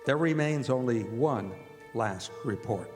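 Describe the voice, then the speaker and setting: An actor playing an earnest, grave doctor bearing witness in a staged radio drama. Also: male voice, medium pitch, lively intonation, slow pace. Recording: reverberant theatre hall, music behind.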